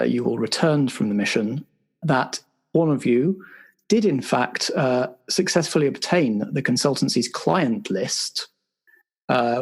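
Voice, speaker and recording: A man's voice: mid-range voice, breathy edge. A man playing an officious spy agency superior in an improvised roleplay, speaking in a hesitant, formal, politely pedantic way. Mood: dry, formal. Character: officious, bureaucratic male spy agency superior running a debriefing. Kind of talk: improvised roleplay audio drama.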